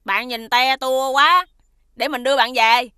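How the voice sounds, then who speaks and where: A woman voicing a mischievous, feisty but loyal young schoolboy in an animated children's cartoon style. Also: sits high, a little slow, smooth, no room sound, clean studio sound. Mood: reassuring. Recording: music behind the voice.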